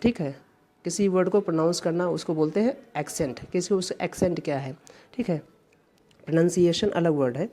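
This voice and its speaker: A woman from India teaching English grammar for exams, going through questions one by one and reading each example sentence slowly and deliberately. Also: fairly low pitch, warm tone.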